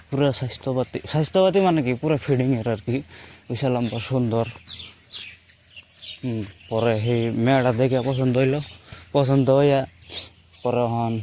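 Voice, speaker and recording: A young man with a mid-pitched voice talks in a rural Bengali dialect of Assam. He rambles through a casual personal monologue, often repeating his phrases. There is faint outdoor noise with birds behind him. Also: warm tone. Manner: rambling, repeats and rephrases himself. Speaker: storyteller recounting his own life.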